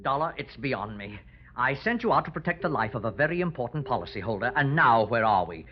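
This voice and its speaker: An actor playing a fussy, exasperated insurance company boss in an old radio drama, flustered and indignant. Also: male voice, medium pitch, sing-song, fairly quick, a little hiss or hum, close to an everyday voice. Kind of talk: 1940s radio acting. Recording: muffled vintage radio recording.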